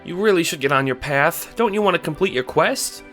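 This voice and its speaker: A man's voice: fairly high pitch, lively intonation, brisk, fairly bright tone, faint music behind, pitched above the performer's own voice. A man voicing a timid, anxious and helpful cat sprite who hesitates and stammers, in an audio drama. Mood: nervous.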